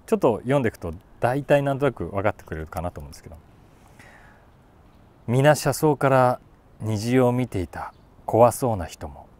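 A man in his forties talking casually about a book, thinking aloud and reading short lines with animated emphasis. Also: mid-range voice. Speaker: YouTube host, voice actor. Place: outdoors on a city rooftop.

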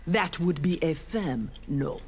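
Low-voiced, stern young warrior woman. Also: animated, slow pace, darkish tone, slight background noise. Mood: stern, resolute. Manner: formal, resolute. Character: earnest, stern young female armored ninja warrior. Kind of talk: fighting game character dialogue. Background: game music.

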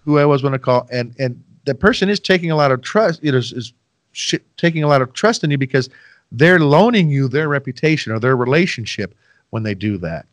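A man's voice: medium pitch, lively intonation, fairly quick, dry recording. A middle-aged man hosts a podcast from home over a video call, talking into a headset mic. He rambles and makes self-deprecating asides to his listeners. His voice sits mid-range and sounds close and dry. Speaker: podcast host. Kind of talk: casual interview chat. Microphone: headset with boom mic.

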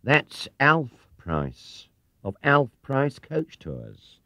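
A man voicing an oily coach-tour salesman with a British accent, fawning but quick to turn nasty, in a storybook reading. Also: mid-range voice, wildly swooping pitch, pushed and bright, dry recording, pitched above the performer's own voice, heavily acted. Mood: scornful. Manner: fawning, then curt and sneering. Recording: dull 1970s cassette recording.

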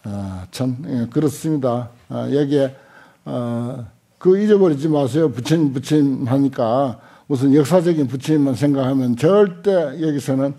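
An older man giving a Buddhist scripture lecture, halting often with small interjections and repeating points for emphasis. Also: mid-range voice, mellow. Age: older, 70s or above. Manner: unhurried, halting with frequent interjections, emphatic repetition. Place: indoor lecture hall with seated audience. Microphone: desk stand microphones.

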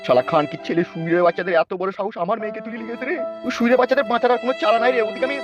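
A man's voice: very high-pitched, fairly even intonation, racing. A man voicing a boastful, threatening politician and protective father in a comedy cartoon, menacing and blustering. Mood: furious, threatening. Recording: dull sound under loud music.

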